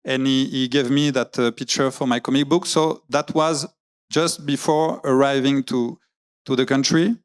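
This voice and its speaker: A man's voice: medium pitch, steady tone, normal speed, smooth. A man giving a talk in English, hesitating often, searching for words and restarting his sentences. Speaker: graphic novelist giving a talk. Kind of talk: public talk with slides. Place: lecture venue.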